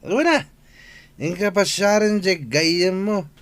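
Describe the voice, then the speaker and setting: A man's voice: sits high, slow pace, fairly bright tone. A man playing a flippant, grumbling young husband in an Ilocano comedy radio drama. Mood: teasing.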